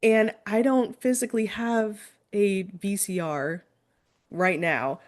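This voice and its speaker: A woman's voice: mid-range voice, steady tone, takes it slowly. A woman hosting an interview, asking questions and chatting in a casual, conversational style. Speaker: interviewer, podcast host.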